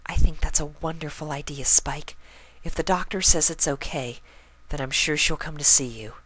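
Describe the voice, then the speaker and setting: Man putting on a high, gentle timid female pony. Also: normal speed, rough recording. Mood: caring. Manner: hushed, tender. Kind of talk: fan fiction reading of a cartoon.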